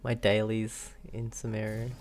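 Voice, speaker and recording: A man's voice: on the low side, completely flat delivery, normal speed. A young man streaming a game, giving casual, amused and enthusiastic reactions over the gameplay.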